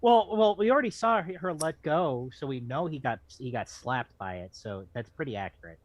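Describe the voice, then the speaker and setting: A man's voice: a little high, lively intonation, normal speed. A man chatting casually in a read-aloud group, joking and swearing as he comments. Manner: joking, profane. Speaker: book club reader and commentator.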